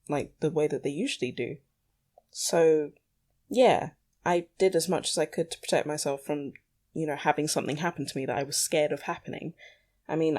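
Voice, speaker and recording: A woman's voice: low voice, darkish tone. Candid young woman podcasting casually. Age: young adult. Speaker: podcast host. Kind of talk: casual solo podcast ramble.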